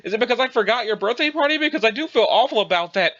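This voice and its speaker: A man's voice: very high-pitched, lively intonation, very fast, sharp tone, exaggerated voice. Man acting a wordy, oblivious young adventurer, slightly muffled. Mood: bewildered, apologetic. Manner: wordy, old-fashioned folksy exclamations, bumbling. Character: rambling, oblivious, self-absorbed young male adventurer. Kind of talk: dramatized dialogue reading.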